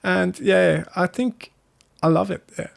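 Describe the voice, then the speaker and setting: A man from Afghanistan with an Afghan accent and Hazaragi as his first language, answering casually in halting, searching English. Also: high-pitched, gravelly. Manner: halting, searching for words in non-native English. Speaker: interviewee. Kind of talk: casual interview conversation.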